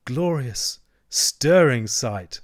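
A man voicing a dreamy, self-absorbed toad in a storybook, murmuring rapturously in drawn-out phrases. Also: mid-range voice, lively intonation, no room sound, clean studio sound. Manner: murmuring, rhapsodic, drawn-out delivery.

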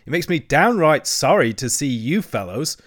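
A man's voice: medium pitch, expressive, moderate pace, lightly acted. Guy doing a puffed-up storybook toad, theatrical and showing off, voice all over the place, kinda raised. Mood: disdainful, grand. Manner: pompous, grandiose, theatrical. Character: boastful, excitable male toad, pompous and wheedling. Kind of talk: storybook character acting.

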